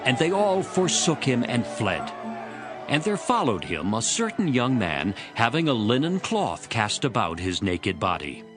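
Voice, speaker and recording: A man's voice: mid-range voice, very animated, a little slow, music in the background. A man narrating dramatized scripture as a storyteller between the character parts.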